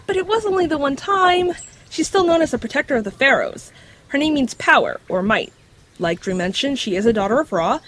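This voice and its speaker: Woman voicing a bright-eyed talking dog, high and lively. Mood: persuading. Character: clever talking dog companion, confident guide on mythology. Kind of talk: acted audio drama dialogue.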